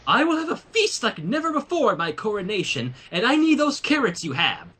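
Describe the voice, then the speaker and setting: Man voicing a high, haughty young pony princess. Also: wildly swooping pitch, a little fast, bright, forceful tone, breathy edge, heavily acted. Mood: imperious. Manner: haughty, demanding. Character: vain, imperious young female pony princess.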